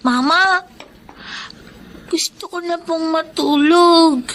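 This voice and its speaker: A performer playing a sweet young daughter in a Filipino radio drama, with a childlike, drawn-out delivery. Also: very high voice, fairly bright tone, full character voice. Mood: sleepy. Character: sweet, excitable little daughter, young girl. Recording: noisy ambience behind the voice.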